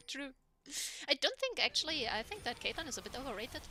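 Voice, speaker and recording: A young woman with a squeaky voice, casting a live game in a chatty way with lots of ums and laughs. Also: very animated, normal speed, bright, forceful tone, faint music behind. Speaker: esports caster.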